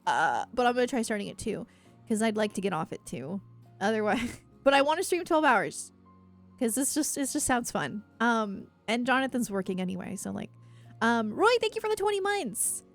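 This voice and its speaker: A woman's voice: fairly high pitch, sing-song, moderate pace, soft background music. A woman chatting casually on a livestream, rambling and effusive, with a self-deprecating way of talking as she answers her viewers.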